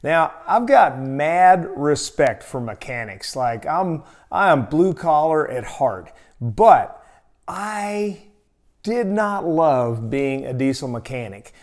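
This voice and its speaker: An American man telling a personal story in a casual, folksy, expressive and conversational way as a storytelling host. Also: fairly high pitch, slow.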